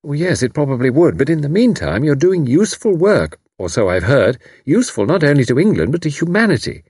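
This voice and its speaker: A man voicing a brisk, kindly young woman who speaks robustly and reassuringly. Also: on the high side, very animated, a little mellow, slightly rough, dry recording, studio quality, voice pushed up, exaggerated voice. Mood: earnest.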